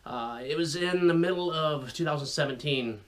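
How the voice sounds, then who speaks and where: A man's voice: a little high, moderate pace. A man hosting a hobby video, talking casually and explaining things with wry, sardonic asides. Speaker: radio hobbyist video host. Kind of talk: casual explanatory vlog talk.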